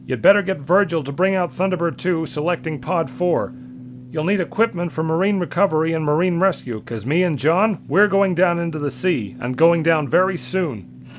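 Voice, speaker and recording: A man's voice: a little high, animated, normal speed, voice pushed up, lightly acted. A man voicing a brave young rescue pilot, strained and determined, in a dramatic audio story with music behind. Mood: urgent reporting.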